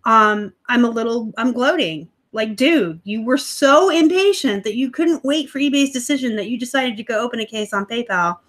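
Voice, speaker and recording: A woman in her thirties talks into a laptop at home during a live stream, chatting loosely with viewers. Her voice sits a little high. She sounds snarky and laughs often. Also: animated, moderate pace, hardly any pauses, a bit bright. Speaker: livestream host, online reseller. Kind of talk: casual live-stream chat reading comments.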